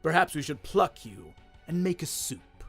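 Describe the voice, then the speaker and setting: A man's voice: on the high side, very animated, unhurried, gravelly, a bit bright, faint music behind, heavily acted. A man voicing a cruel, mocking king, gloating and vengeful, with a taunting, menacing delivery.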